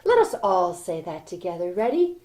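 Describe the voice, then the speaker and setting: A woman narrating a children's story in an expressive, theatrical style, inviting listeners along. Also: lowish voice, normal speed, background noise.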